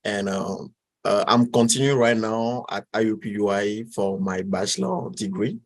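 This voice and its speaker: A man in his twenties from Togo with a Togolese French accent, answering interview questions in a halting way, with many fillers and restarts. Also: on the low side, expressive, creaky. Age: 20s. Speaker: guest.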